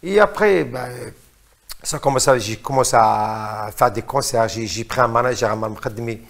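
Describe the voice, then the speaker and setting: A man's voice: medium pitch, normal speed, creaky. A middle-aged man from Morocco, a singer, talks in a casual interview, hesitant, often repeating words as he searches for phrases.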